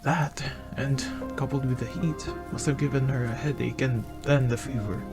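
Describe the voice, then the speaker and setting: A man playing a worried, caring young farmer husband, earnest and measured, in an amateur radio drama. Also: mid-range voice, fairly even intonation, loud music behind the voice, close to an everyday voice. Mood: puzzled.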